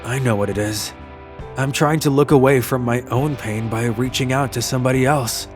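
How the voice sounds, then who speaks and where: Man acting as lovelorn young man, medium-pitched, kind, earnest, manga dub narration, music behind. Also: normal speed, lightly acted. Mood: reflective. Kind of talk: English manga video dub with first-person narration.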